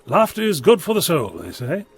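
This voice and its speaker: A man's voice: a little high, huge pitch swings, moderate pace, slightly rough, soft background music, exaggerated voice. A man playing a wry, unflappable adventurer held prisoner in an audio drama, musing and whimsical. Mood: wry, curious.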